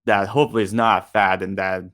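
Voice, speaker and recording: Low, even-toned man in discussion. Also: fast talker. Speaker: panelist. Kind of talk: casual discussion.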